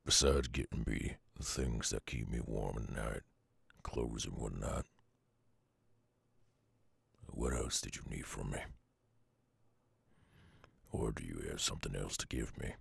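A man voicing a brooding, weary loner werewolf with a Southern US accent and a gruff, husky drawl, in an ASMR audio roleplay. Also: extremely low pitch, dry recording, heavily acted. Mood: wary, grudging. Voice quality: very gravelly, husky. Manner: slow, drawling, murmured.

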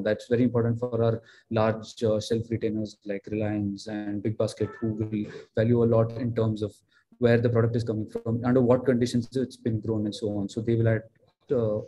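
A man's voice: lowish voice, dark tone, muffled recording. A young man answering questions about his startup on a video call through a headset. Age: young adult. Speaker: startup founder answering judges.

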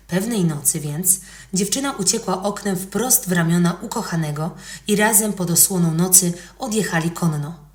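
A woman reading scripted historical narration as a podcast narrator. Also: on the low side. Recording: roomy, echoing voice recording.

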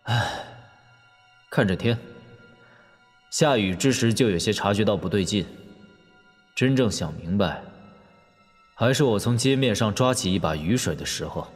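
An actor playing a calm, shrewd young warlord prince in a wuxia audio drama, measured and wry. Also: male voice, lowish voice. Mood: wry, knowing. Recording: long hall reverb, music underneath. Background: background music.

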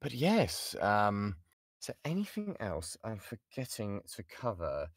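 Low-voiced British man, dry booth sound. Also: huge pitch swings, a little slow, husky, dry recording. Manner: self-deprecating, chatty, reads questions aloud then answers. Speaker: voice actor giving a workshop. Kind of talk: informal online Q&A talk. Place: insulated padded home booth. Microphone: XLR studio microphone.

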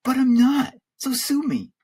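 Guy doing a whiny entitled kid from an audiobook, high pitched, husky, swoops around a lot. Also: sing-song, measured pace, darkish tone, heavily acted. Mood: angry defiance. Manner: whining, indignant outbursts. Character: whiny defiant school-age boy, privileged and self-pitying.

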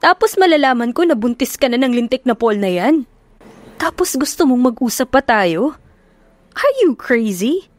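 A woman's voice: sits high, lively intonation, moderate pace, no echo, pitched above the performer's own voice. A woman narrator voicing a young businessman who bitterly confronts his unfaithful girlfriend. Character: hurt, angry young man, a CEO.

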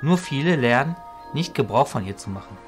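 A man playing a calm, wise illusionist mentor, measured and didactic, in a fantasy audio drama. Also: medium pitch, faint music behind, close to an everyday voice. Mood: wise, instructive.

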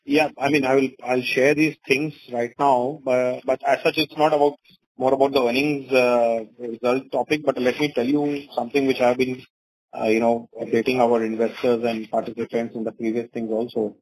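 A man's voice: mid-range voice, level tone, moderate pace, slightly rough, rough recording. A man with an Indian accent answering investors as a company executive on an earnings call, wordy and often saying "you know".

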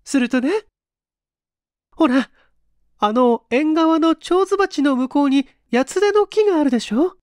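A frightened wife chatters in a high, pleading voice. Her feminine speech swoops with insistence, as in a radio drama ghost tale. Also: lively intonation, a little slow, long pauses, darkish tone, dry recording, studio quality. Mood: shaken, insistent.

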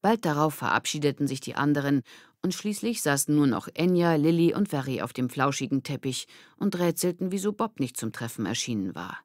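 A woman narrating a children's story audiobook in an expressive, scripted storytelling manner. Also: deep voice, fairly quick, dry recording, clean studio sound.

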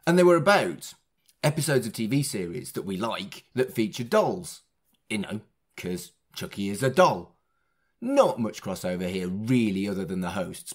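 A man hosting a podcast in a casual, chatty style, with wry, self-deprecating asides. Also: fairly low pitch. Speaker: podcast host.

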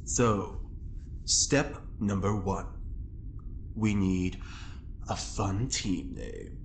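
An actor playing a wry criminal mastermind in prison, deliberate and drily playful, in an audio drama. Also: deep voice, animated, slight background noise, heavily acted. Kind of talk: naturalistic audio drama acting.

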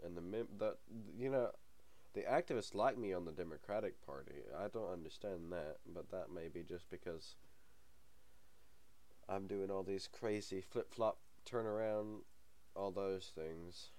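A man's voice: low voice, a little slow, darkish tone. A young man hosting a gaming channel, talking casually over a game. He has a cold and the sniffles, and he rambles with many ums and small chuckles. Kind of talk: casual let's-play commentary.

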